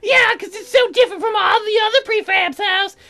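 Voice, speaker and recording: A woman's voice: very high-pitched, fairly quick, pushed and bright, pitched above the performer's own voice, heavily acted. A woman voicing a ditzy, crude young townswoman in a visual novel, rambling and airheaded, peppered with "like". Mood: snippy, bored. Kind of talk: comic visual novel voice acting.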